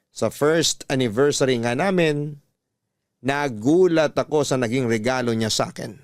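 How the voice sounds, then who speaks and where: A man's voice: medium pitch, clean tone, dry recording, studio quality. A man narrating a heartfelt letter in the first person as a radio storyteller.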